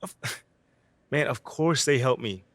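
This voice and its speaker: A man playing a confident young pro basketball player in a video game, relaxed and slangy. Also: medium pitch, slow, no room sound. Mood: casual, self-assured. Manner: relaxed, slangy, self-assured. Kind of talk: casual game cutscene and press interview dialogue.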